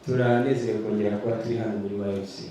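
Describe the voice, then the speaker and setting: A man interprets a sermon live, working steadily through each phrase and sometimes restarting to get the wording right. His voice is mellow and dark. He sounds far from the microphone in a hugely echoing hall, with faint noise behind him. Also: on the low side, racing. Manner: steady, rephrases as he goes. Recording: distant mic, echoey hall, faint noise.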